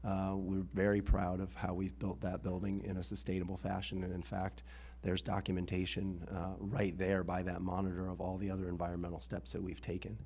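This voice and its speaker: Rough-voiced man with a low, flat delivery. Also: fairly quick, few pauses. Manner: earnest, steady explanation with filler ums. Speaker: assistant head of school speaking at a public hearing. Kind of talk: public meeting testimony. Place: town board hearing room. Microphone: meeting microphone.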